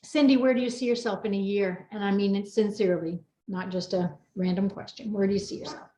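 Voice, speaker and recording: A woman's voice: medium pitch, steady tone, brisk, dark tone, reverberant. A middle-aged woman hosting a casual panel chat, talking at length in an emphatic way. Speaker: host and wellness coach.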